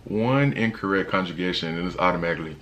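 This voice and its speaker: A young man with a deep voice, talking casually in a travel vlog with a laid-back, slangy manner and lots of fillers. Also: fast talker, fairly bright tone. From United States. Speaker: travel vlogger.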